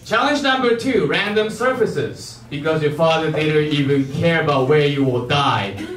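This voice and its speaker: A young man from Japan performs stand-up with a high voice. He delivers his set emphatically but haltingly, repeating phrases. The handheld stage mic sounds echoey and distant. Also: lively intonation, crowd noise. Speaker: amateur stand-up comedian. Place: theater stage before a live audience. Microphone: handheld wired microphone.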